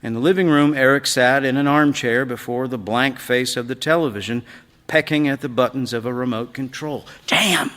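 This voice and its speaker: A man reading a literary story aloud on stage, in a measured, dramatic way that moves between hush and sudden swells. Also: mid-range voice, steady tone. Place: large theatre stage. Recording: reverberant hall, live stage reading.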